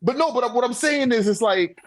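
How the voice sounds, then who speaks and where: A man's voice: very high voice, expressive, fairly quick, no echo, clean studio sound. A man arguing his point in an informal, slangy way, often checking that the listener follows, as in a casual debate. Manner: repeatedly checks for agreement. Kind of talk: casual debate about a manga ranking.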